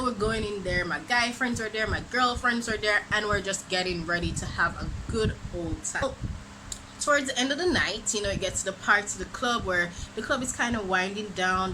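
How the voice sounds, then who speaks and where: A young woman from Jamaica with a Jamaican accent, telling a dramatic storytime for her vlog in an animated, exclamatory way. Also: mid-range voice. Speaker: vlogger. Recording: noisy background ambience.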